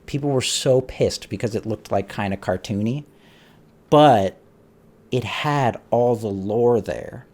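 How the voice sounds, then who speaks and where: A man's voice: mid-range voice, slow, darkish tone. A man live streaming a casual, emphatic, rambling rant to his chat, swearing now and then. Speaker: live streamer giving opinions.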